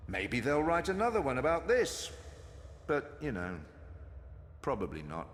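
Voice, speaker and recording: Theatrical villain god, cavernous echo. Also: male voice, a little high, wildly swooping pitch, measured pace. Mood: mocking. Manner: gloating, mocking monologue. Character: smug theatrical trickster god villain, banished and vengeful. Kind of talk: scripted video game cutscene acting. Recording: long echoing hall, faint noise.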